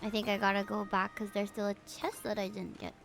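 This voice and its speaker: A young woman livestreams casual game commentary in a bubbly, expressive, playful way, chatting with viewers as she plays. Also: mid-range voice, fairly quick, gravelly, music in the background. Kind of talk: casual gameplay commentary with chat replies.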